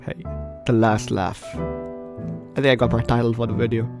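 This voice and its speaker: A man hosting a gameplay video, reading game text aloud and breaking in with expressive reactions. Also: medium pitch, moderate pace, over music. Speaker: gaming video host.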